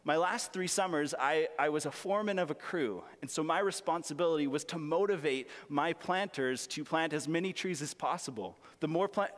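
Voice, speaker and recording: Raspy young pastor in a big hall. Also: male voice, a little high, brisk, few pauses. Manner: earnest, self-deprecating, conversational with humor. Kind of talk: sermon with personal stories. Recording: long reverb of a large hall.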